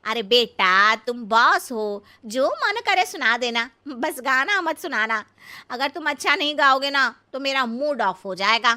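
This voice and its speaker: An elderly village mother-in-law for a cartoon story, very sharp and high. She is cheeky and stubborn, drawing out her folksy scolding with big swoops in pitch. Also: lively intonation, a little slow, exaggerated voice. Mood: cheeky, chatty. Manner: literal-minded, scolding, folksy. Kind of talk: exaggerated comic cartoon story acting.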